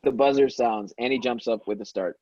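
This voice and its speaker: A man narrating stage directions at a table read, in dull low-fidelity sound. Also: a little fast, mellow, no room sound. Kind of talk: reading screenplay directions aloud.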